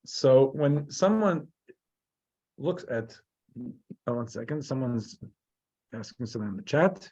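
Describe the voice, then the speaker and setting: A man's voice: medium pitch, lively intonation, measured pace, long pauses, mellow. An American man from New Jersey, a rabbi teaching a Torah class. He halts often, restarting and repeating words as he thinks aloud. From New Jersey, USA. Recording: dull, lo-fi sound.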